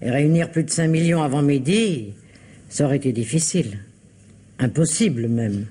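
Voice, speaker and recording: A woman's voice: low voice, normal speed, warm tone, husky. An actress in an old French radio mystery playing an anxious, tart-tongued woman, speaking emphatically. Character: anxious, tart sister of a ransom victim.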